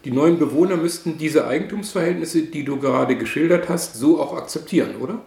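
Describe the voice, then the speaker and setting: A man with a slightly higher pitch and a gravelly edge leads a radio interview, asking short, calm questions. His voice sits in a roomy, echoey space. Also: brisk. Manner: calm, brief questions with frequent backchannel. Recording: roomy echo.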